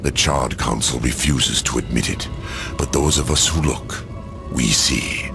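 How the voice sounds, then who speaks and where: An actor voicing a grave, anxious, dignified old angel in a video game, speaking formally and theatrically. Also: male voice, rumbling low, a little slow, gravelly, over music, heavily acted. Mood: solemn. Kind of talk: video game drama acting.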